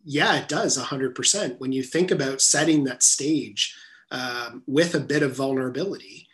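Male author guest, even mid-range voice, earnest and reflective. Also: medium pitch. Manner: earnest, measured, repeats words while rephrasing. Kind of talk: reflective interview talk.

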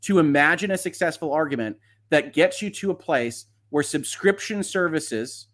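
A man's voice: fairly high pitch, animated, moderate pace, fairly bright tone. A man hosting a live stream, explaining and commenting on legal matters in a conversational, frank and opinionated way. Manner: conversational, explanatory, often checks with a tag "right?". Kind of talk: live commentary, answering viewer questions and explaining antitrust law.

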